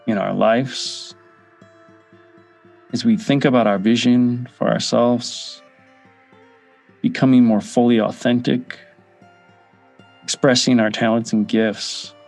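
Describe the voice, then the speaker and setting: A man leads a guided meditation at a very slow pace, leaving room between short, measured phrases. His medium-pitched voice often settles into a gravelly fry. Soft music plays behind him. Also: steady tone. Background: background music.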